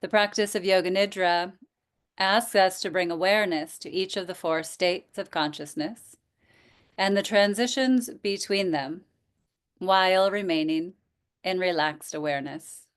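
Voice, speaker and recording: A woman leads a guided relaxation as a yoga nidra teacher. Her voice is smooth and clear, set a little low. She speaks very slowly and gently, leaving pauses and inviting rather than instructing.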